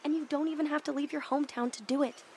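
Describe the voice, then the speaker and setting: A woman's voice: high-pitched, fairly even intonation, fast talker, a little mellow, slight background noise. An actress playing an upbeat, free-spirited young woman with a breezy, persuasive way of talking.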